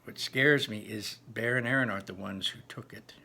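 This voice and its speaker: A man narrates a storybook in a bright, forceful voice. His reading is animated and emphatic, with dramatic stresses and asides to his listeners. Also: fairly low pitch, moderate pace, gravelly. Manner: emphatic, dramatic, repeats phrases for effect. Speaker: read-aloud teacher narrating a novel.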